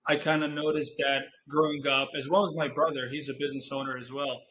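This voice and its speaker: A young man from Serbia with a Serbian accent, answering interview questions in English as a non-native speaker, pausing to search for words. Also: mid-range voice, level tone, fairly bright tone, a little hiss or hum. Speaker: guest, business owner.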